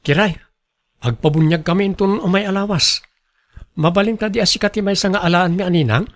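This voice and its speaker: A man's voice: high voice, fairly quick, natural voice. A man with a Filipino Ilocano accent playing a plain-spoken family man and father in a radio drama. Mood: resigned, advising.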